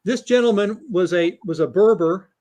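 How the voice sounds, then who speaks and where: A man's voice: sits high, moderate pace, mellow. A chatty man talking casually in an online meeting, self-deprecating. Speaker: guest presenter.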